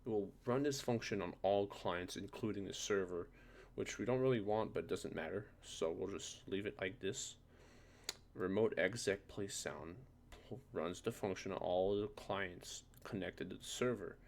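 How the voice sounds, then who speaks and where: A man presenting a casual software tutorial, thinking aloud in an informal way with a gravelly voice. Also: deep voice, normal speed.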